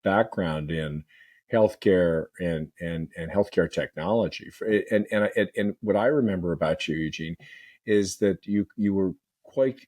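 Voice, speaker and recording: A man's voice: low-pitched, unhurried, darkish tone. A man hosting a healthcare podcast, talking in a conversational way that often stalls on repeated words and restarts. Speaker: podcast host. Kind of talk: conversational interview.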